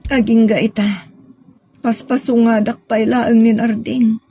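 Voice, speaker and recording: A woman's voice: on the high side, little pitch movement, unhurried, warm tone, faint music behind. A woman playing a grieving young daughter in a sentimental audio drama, speaking plaintively and hesitantly. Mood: grieving, longing. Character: grieving young daughter pining for her lost sweetheart.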